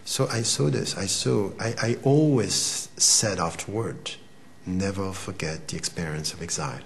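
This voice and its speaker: A man's voice: sits low, a little slow, gravelly, slight background noise. A man in his forties from Switzerland whose first language is Arabic, answering an interview in a thoughtful, hesitant way, often repeating words. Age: 40s. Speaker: interview guest.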